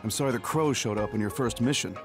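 An actor playing a kindly, courteous major general in an anime game, gracious and encouraging. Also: male voice, medium pitch, quick pace, music in the background, lightly acted. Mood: kindly, reassuring. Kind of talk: anime video game dub acting.

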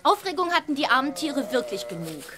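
A woman's voice: high voice, sing-song, brisk. A woman playing a down-to-earth, affectionate teenage girl in a children's radio play, frank and cheeky. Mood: affectionate, amused.

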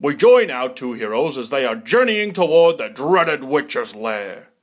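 A man's voice: medium pitch, big pitch swings, unhurried. A man acting as a theatrical, wry storybook narrator for a cartoon game quest. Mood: grand. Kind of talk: dramatic scripted cartoon narration.

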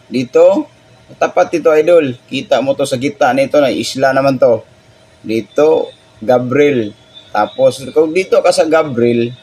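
A man's voice: medium pitch, a little mellow. Chatty Filipino man touring a sea chart. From Philippines. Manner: chatty, keeps addressing the viewer as "idol". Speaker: fishing channel host. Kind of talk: casual screen-recorded chart walkthrough.

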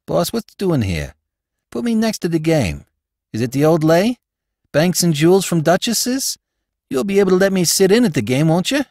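A man voicing an eager, dim small-time crook in an audiobook, with a New York accent and slangy, ungrammatical, excitable talk. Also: high-pitched, normal speed, no room sound, studio quality, pitched above the performer's own voice, exaggerated voice. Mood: hopeful.

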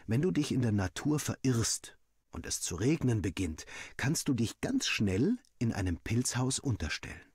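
Husky, animated male storyteller. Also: mid-range voice, normal speed, no room sound, studio quality. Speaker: audiobook reader. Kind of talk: scripted first-person story narration, acting out dialogue.

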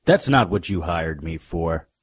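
A hard-boiled private eye in a noir radio play. His voice is very low and gravelly, telling the story in the first person with dry wisecracks and tough-guy grit. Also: lively intonation, measured pace, dry recording, heavily acted. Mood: tough, angry. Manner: wry, tough-guy delivery.

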